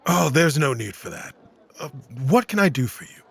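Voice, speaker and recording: A man playing a courteous, reassuring veteran knight in an audio drama, with a gravelly voice. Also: on the high side, sing-song, moderate pace, exaggerated voice. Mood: kind, patient.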